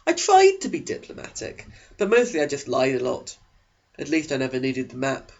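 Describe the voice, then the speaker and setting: A man's voice: mid-range voice, huge pitch swings, moderate pace, creaky, a little mellow, lo-fi sound. A man narrating a mock audiobook with a sarcastic, exaggerated delivery.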